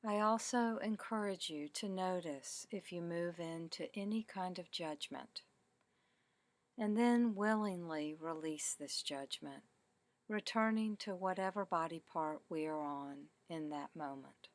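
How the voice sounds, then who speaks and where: A woman leading a guided meditation in a calm, deliberate way, with measured phrases and pauses. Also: fairly low pitch, dry recording. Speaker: meditation teacher. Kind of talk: guided meditation, body scan narration.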